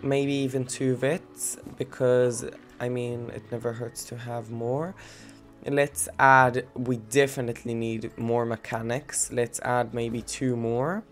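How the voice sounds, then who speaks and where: A man's voice: mid-range voice, little pitch movement, slow, faint music behind. A young man with a blocked, stuffy nose from a cold, giving rambling, giggly gameplay commentary. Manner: rambling, giggly, many fillers. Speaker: let's-play gamer.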